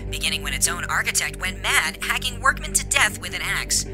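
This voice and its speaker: A polished news reporter, acted by a man reads a scripted report in formal, measured tones. The pitch moves in a lively way and loud music plays along. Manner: formal, measured broadcast delivery.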